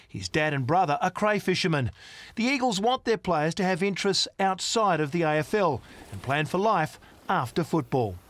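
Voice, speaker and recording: A man with an Australian accent reading a scripted news voice-over in a crisp, deliberate way. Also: on the high side, expressive, a bit bright. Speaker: reporter.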